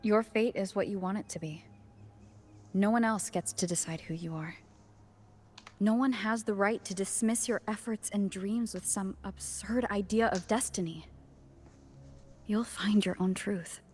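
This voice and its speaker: A woman's voice: medium pitch, a little slow, long pauses, a little mellow, a little hiss or hum, lightly acted. An actress playing an earnest, supportive young female adventurer in a video game, speaking plainly and sincerely. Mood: encouraging, sincere. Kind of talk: scripted video game dialogue. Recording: game music behind the voice.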